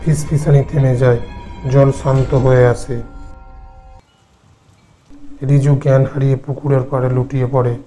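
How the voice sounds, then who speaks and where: A male narrator reading a scripted horror tale. His voice is mid-pitched and bright, with little variation in pitch, over faint music. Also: flat delivery, measured pace, pauses often.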